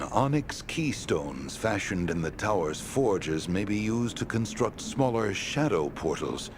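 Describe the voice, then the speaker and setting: Fantasy game informant: lowish pitch, secretive, noble, serious and deliberate explainer, audible background noise. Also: male voice. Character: secretive, earnest member of a noble secret order. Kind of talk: video game exposition dialogue. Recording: noisy game capture.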